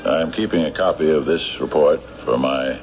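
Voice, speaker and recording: An actor playing a stubborn, hard-driving sheriff in an old-time radio drama, blunt, insistent and sardonic. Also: male voice, rumbling low, normal speed, loud music behind the voice. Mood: grave, resigned. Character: stubborn, hard-driving small-town sheriff, certain of guilt. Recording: noisy, muffled vintage radio broadcast.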